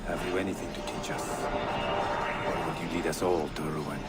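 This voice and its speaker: An actor playing a stern, resolute young assassin leader in a video game, speaking in grave, formal, measured phrases. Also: male voice, extremely low pitch, fairly even intonation, over loud music. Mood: somber. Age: young adult. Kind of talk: dramatic video game cutscene acting.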